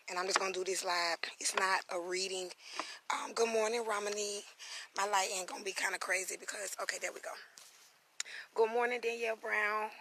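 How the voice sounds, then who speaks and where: Hoarse chatty woman on a phone live stream. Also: medium pitch, fairly bright tone, slight background noise, rough recording. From South Carolina, USA. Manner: chatty, greets viewers by name, drawn-out asides. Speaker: spiritual reader and vlogger. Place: outdoors on family land in the country. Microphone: phone camera.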